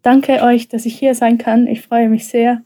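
A woman's voice: on the high side, level tone, a little slow, mellow. A young woman from Switzerland with a Swiss German accent, a podcast guest introducing herself thoughtfully with frequent hesitations. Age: young adult. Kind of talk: casual podcast conversation.

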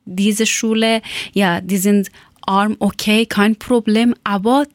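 A woman from Iran with a Persian accent, speaking German as a second language and telling a personal account haltingly, often searching for words. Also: mid-range voice, fairly even intonation, no echo. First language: not German. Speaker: former teacher telling her life story.

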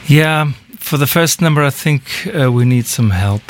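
A man's voice: mid-range voice, normal speed, a little hiss or hum. A man, a musician guest on a radio show, making dry teasing remarks in the studio.

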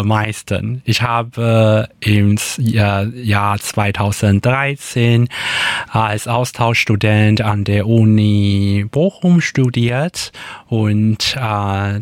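A man from Taiwan with a Taiwanese accent, whose native languages are Hokkien and Mandarin, speaking in a radio interview with many fillers and pauses while he searches for words. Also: lowish voice, no room sound. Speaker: radio guest.

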